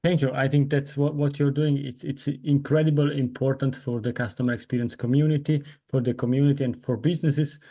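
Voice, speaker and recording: A middle-aged man whose first language is Italian, hosting an interview over a video call and encouraging the guest, with quick restarts. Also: medium pitch, flat delivery, mellow. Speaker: podcast host.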